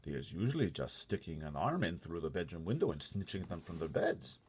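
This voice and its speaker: A man voicing a big, gentle, earnest storybook giant who speaks emphatically in muddled grammar and made-up words. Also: low-pitched, sing-song, fairly quick, husky, exaggerated voice. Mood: earnest, reassuring. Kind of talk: storybook character voice.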